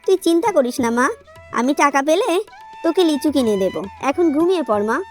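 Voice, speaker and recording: A woman's voice: high voice, expressive, brisk, music in the background. A woman voicing a caring, poor fox mother in a children's cartoon, with a tender, motherly manner. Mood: gentle, regretful.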